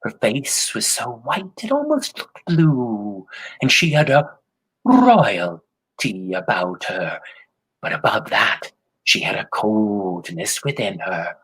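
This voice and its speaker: A male storyteller tells a fairy tale in an unhurried way, tossing in playful asides and sound effects. His husky voice rises and falls widely. He leans into the telling for his listeners. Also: lowish voice, animated, fairly bright tone, poor audio.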